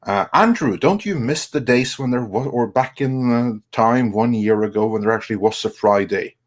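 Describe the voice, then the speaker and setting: A man hosting a casual live chat show and reading out viewer messages in a halting way. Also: mid-range voice. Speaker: host of a live stream show.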